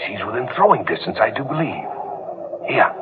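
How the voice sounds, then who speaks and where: Male actor for radio-drama sailor, excitable yet deferential, swooping intonation, muffled old recording. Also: medium pitch, normal speed, noisy background, natural voice. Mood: earnest, insistent. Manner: salty nautical talk, respectful, earnest. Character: deferential, excitable sailor, the mate's eager deckhand.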